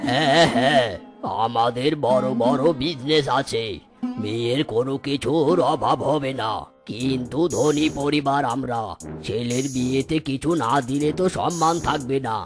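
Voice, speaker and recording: A man voicing a pompous, greedy jackal father in a children's cartoon, speaking in a self-important, smooth-talking way. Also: mid-range voice, measured pace, music in the background, close to an everyday voice. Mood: pompous, bargaining.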